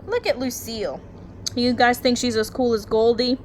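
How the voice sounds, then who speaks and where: A woman teacher doing an expressive picture-book read-aloud, chatting directly to young children in an encouraging, explanatory way. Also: a little high, normal speed, a little hiss or hum. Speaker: teacher reading aloud to her class.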